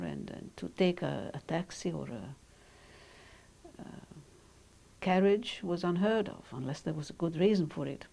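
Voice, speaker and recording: An older woman whose first language is Hungarian, speaking in measured, reflective sentences with pauses as she is interviewed about her childhood. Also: on the low side, vocal fry, warm tone. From Romania. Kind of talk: oral history interview.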